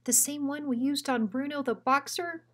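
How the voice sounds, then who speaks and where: A woman's voice: high voice, moderate pace, a bit bright, voice pushed up. A woman voicing a small, timid male dog sidekick in a storybook, nervous, hesitant and naive. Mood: goofy, naive.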